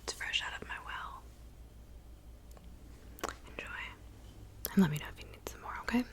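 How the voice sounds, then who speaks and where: A woman playing a soft-spoken witch in an ASMR roleplay, whispering breathily and unhurriedly, as if to a client close by. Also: natural voice. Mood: gentle, attentive. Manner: unhurried, intimate, addressing the listener as a client. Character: soft-spoken witch mixing love potions in her cabin.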